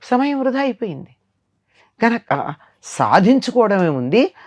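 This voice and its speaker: A woman's voice: fairly high pitch, sing-song, a little slow, darkish tone. Older woman teaching morals, emphatic. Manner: didactic, emphatic, repeats phrases for emphasis. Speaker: spiritual storyteller and teacher. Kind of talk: moral discourse in conversation.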